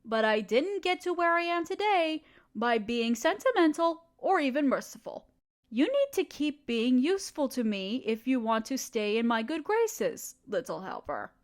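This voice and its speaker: A woman's voice: high-pitched, very animated, moderate pace. A woman voicing a ruthless, scheming evil queen in an audio roleplay, speaking in a condescending, sardonic, commanding way. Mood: cold, threatening. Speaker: voice actor.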